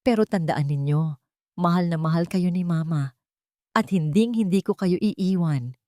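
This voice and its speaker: A woman narrator voicing a gentle, earnest mother comforting her children in a story. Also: fairly low pitch, unhurried, no room sound, studio quality, close to an everyday voice. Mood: tender, resolute. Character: tender, resolute young mother comforting her small children.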